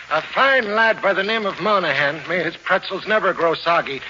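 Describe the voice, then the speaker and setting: High-voiced wily Irish uncle played by a man. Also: lightly acted. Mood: jovial, flowery. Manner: florid, long-winded, full of mock piety. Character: wily, blarney-spouting Irish uncle, a genial chiseler. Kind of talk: 1940s radio comedy acting. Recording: muffled, noisy vintage radio recording.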